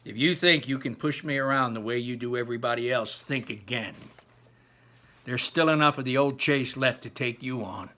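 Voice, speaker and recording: A man voicing an indignant, defiant teenage boy in a storybook, forceful and emphatic. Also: mid-range voice, moderate pace, natural voice. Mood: seething defiance.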